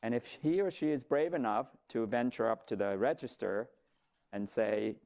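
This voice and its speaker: A man's voice: mid-range voice, moderate pace, vocal fry, a little mellow. A middle-aged man from Vietnam, a company founder, giving a conversational talk with light humor and occasional fillers. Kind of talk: presentation to an audience.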